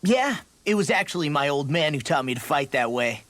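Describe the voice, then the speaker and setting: A man's voice: fairly high pitch, big pitch swings, a little fast, a bit bright, no room sound. An actor playing a brash, hot-headed young male fighter in a video game, with an American accent and cocky, slangy delivery. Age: young adult. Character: brash, hot-headed young male brawler, son following father's footsteps. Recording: studio voice over game music.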